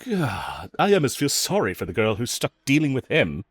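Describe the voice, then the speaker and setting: A man's voice: medium pitch, big pitch swings, moderate pace, husky, dry recording, studio quality. A man playing a cocky, flirtatious, unrepentant villain in an audio roleplay, teasing and mocking the listener with sarcasm. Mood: smug, amused. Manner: teasing, sarcastic drawl with chuckles.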